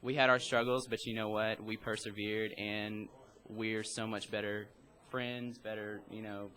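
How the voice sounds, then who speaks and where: A man's voice: fairly low pitch, little pitch movement, unhurried, bright, forceful tone. A young man, a student director, speaking earnestly and proudly about his cast. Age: young adult. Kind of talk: casual interview.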